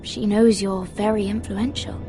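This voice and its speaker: An actress playing a careful young noblewoman in a fantasy game, polite and gentle, with music behind. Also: mid-range voice, steady tone, unhurried, natural voice. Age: young adult. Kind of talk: dramatic video game acting.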